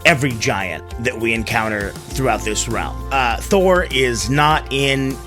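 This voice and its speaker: A man's voice: mid-range voice, a little slow, music in the background. A man hosting a story show, telling tales with dramatic phrasing and casual, conversational asides. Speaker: host and storyteller.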